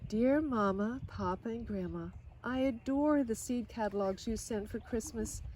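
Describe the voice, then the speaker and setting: A woman reading a children's picture book aloud in an expressive way, now and then speaking directly to her listeners. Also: a little high, a little hiss or hum, low-quality recording. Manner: expressive, pausing for short asides to listeners. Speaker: storybook reader.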